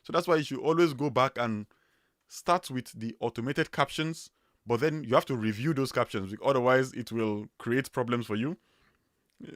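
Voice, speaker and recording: A man's voice: mid-range voice, normal speed, dry recording. Nigerian-accented man hosting a dry livestream. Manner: chatty, loose, with many fillers and restarts. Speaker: livestream host. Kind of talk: casual livestream chat.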